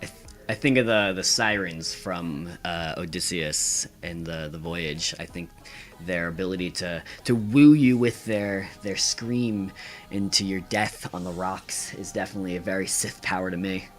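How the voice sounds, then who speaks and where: A man's voice: low-pitched, moderate pace, soft background music. A man answering quiz questions casually as a podcast guest, thinking aloud as he goes.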